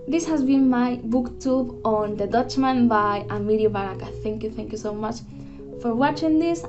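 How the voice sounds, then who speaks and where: Young woman reviewing a play. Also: a little high. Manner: careful, earnest delivery with a few restarts. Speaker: student presenter. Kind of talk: prepared book review. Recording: dull lo-fi voice with music behind. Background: guitar music.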